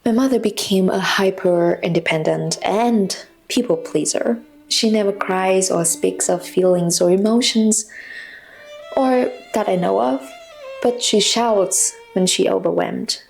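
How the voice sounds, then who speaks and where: A woman in her twenties, a non-native English speaker, narrating a reflective, scripted personal story in a confessional, measured way. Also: mid-range voice, vocal fry, soft background music. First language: not English. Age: 20s. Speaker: personal vlogger.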